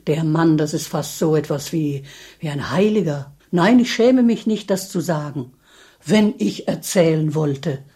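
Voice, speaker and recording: A plain-spoken village landlady in a radio play. She has a very low, mellow voice and speaks up firmly and protectively, in a homely way. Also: lively intonation, measured pace, slightly rough, exaggerated voice. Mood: protective, insistent. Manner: homely, emphatic.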